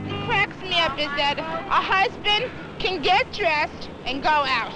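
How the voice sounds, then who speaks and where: Very high-voiced outspoken woman amid loud chatter. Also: animated, slow, over music. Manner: outspoken, sardonic, mimics others. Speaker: mother. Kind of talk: animated group conversation. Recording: very noisy old film, loud crowd.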